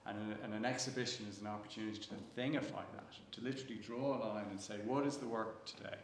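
A man's voice: on the low side, fairly even intonation, fast talker. A man moderating a panel, thinking aloud and repeating words as he goes. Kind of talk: unscripted panel discussion. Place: indoor gallery event space. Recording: echoey room, faint noise.

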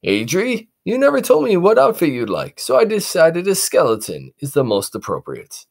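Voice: medium pitch, huge pitch swings, moderate pace, a little mellow, voice pushed up. A storybook reader voicing a cheerful father with an upbeat, announcing manner. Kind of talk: storybook read-aloud.

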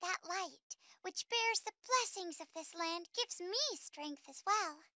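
A woman's voice: very high-pitched, animated, slow, sharp tone, no echo, studio quality, voice pushed up, heavily acted. A woman voicing a wise, dignified female fairy lord who speaks formally, over game music. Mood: gracious. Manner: formal, stately speech.

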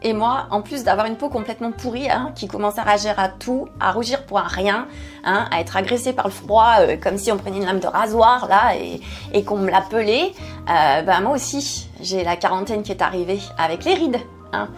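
A woman's voice: mid-range voice, normal speed. A woman in her forties speaks to camera from a home kitchen set, chatty and self-mocking, with plenty of hesitations. A faint bed of music sits behind her voice. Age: 40s. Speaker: skincare YouTuber. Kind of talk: candid personal vlog. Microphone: fixed camera, seated at medium distance.

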